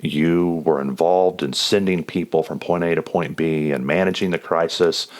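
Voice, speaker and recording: Very deep-voiced man interviewing. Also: steady tone, normal speed. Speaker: podcast host, police chaplain and counselor. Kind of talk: conversational interviewing.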